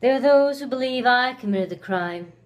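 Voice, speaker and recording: A woman performing a dramatic monologue as a cold, reclusive, resentful woman accused of murder in the 1890s, with deliberate pauses. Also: fairly high pitch, lively intonation, muffled recording, lightly acted. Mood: cold, somber. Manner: slow, deliberate delivery with long pauses.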